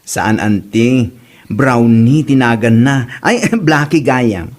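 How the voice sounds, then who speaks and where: A man's voice: mid-range voice, animated, slow pace. A man playing a villager passing on neighborhood news in a comedy drama, with an Ilocano Filipino accent. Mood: insistent. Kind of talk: amateur comedy radio-style drama.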